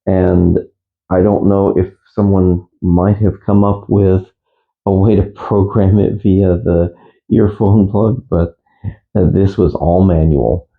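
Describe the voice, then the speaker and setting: Male hobbyist presenter, low-pitched, casual and unscripted, halting delivery, slightly dull lo-fi recording. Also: steady tone, gravelly. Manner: halting, unscripted, matter-of-fact. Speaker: amateur radio hobbyist presenter. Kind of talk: casual gear review.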